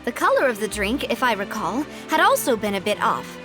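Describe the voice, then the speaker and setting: A woman's voice: a little high, big pitch swings, fairly quick, over music. A woman playing a proud, determined young noblewoman in a video game, with pointed, polished, theatrical phrasing. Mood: explaining.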